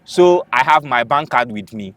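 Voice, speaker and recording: A man with a Ghanaian accent and a loud voice, animatedly telling a story as an interview guest and acting out the people in it. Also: mid-range voice, normal speed, no echo. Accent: Ghanaian English. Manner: animated, acts out quoted dialogue.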